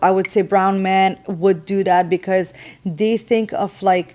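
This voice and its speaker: Una mujer de treinta y tantos, conversadora y risueña, charlando con naturalidad como copresentadora de pódcast. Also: female voice, medium pitch, flat delivery, normal speed, warm tone, clear, resonant tone. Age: 30s. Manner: chatty, agreeable, laughing. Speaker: podcast co-host. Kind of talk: casual chat.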